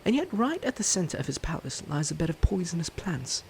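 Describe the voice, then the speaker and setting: An actor playing a suave, smug, flirtatious prince in a romantic roleplay audio drama, teasing and haughty with a sinister edge. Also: male voice, on the high side, very animated, brisk, creaky, a little mellow, slight background noise. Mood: silky, menacing. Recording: music under the voice.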